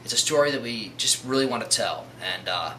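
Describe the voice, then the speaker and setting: Very bright, sharp-voiced man being interviewed. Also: mid-range voice, lively intonation, brisk, poor audio. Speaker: comic book writer. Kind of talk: documentary interview answers. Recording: faint background noise.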